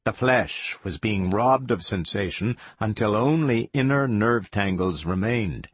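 A man reading science fiction aloud as a narrator, slow, measured and expressive. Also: lowish voice, slightly rough. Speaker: audiobook narrator.

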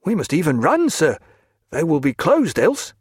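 A man's voice: a little high, huge pitch swings, moderate pace, husky, no room sound, studio quality, voice pushed up, exaggerated voice. A man voicing a deferential male escort who speaks respectfully and eagerly, in an audiobook.

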